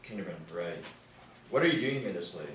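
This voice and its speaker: A man playing a brisk, sprightly old professor in a script table read. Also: low-pitched, animated, fairly bright tone. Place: small indoor room, group table reading. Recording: very echoey room, distant mic, noise.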